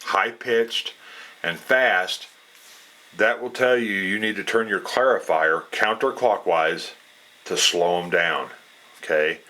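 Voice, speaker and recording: A man giving a casual, unscripted explanation as a radio hobbyist, in a plainspoken, folksy way with halting phrasing. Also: low voice, pushed and bright.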